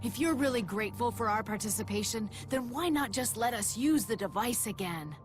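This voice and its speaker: A woman's voice: fairly high pitch, lively intonation, fairly quick, soft background music, lightly acted. An actress playing an outspoken, easily indignant married townswoman in a video game, with a blunt, dramatic way of talking. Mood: reproachful. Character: indignant, outspoken married woman gathering herbs. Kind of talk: video game dialogue acting.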